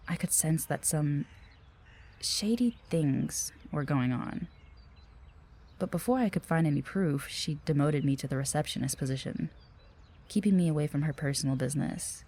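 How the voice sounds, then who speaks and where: A woman plays an earnest office receptionist in an audio drama. Her voice is low and mellow, and she speaks politely and conversationally, with a careful, hesitant manner. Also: slightly rough, exaggerated voice. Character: friendly, earnest, cautious female office receptionist. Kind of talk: audio roleplay acting.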